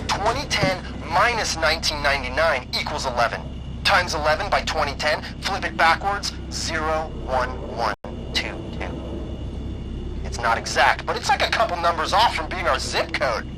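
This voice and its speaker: A man's voice: a little high, lively intonation, moderate pace, background noise, close to an everyday voice. An actor playing a nervy, conspiracy-minded young man in a video game, rattling off his theory. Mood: uneasy, intrigued.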